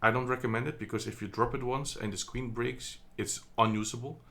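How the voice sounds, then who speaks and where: A man's voice: mid-range voice, fairly even intonation, a little fast, pushed and bright. A man from the Netherlands giving a casual product review, chatty and hesitant, with many ums and self-corrections, recorded on a phone indoors. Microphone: phone camera.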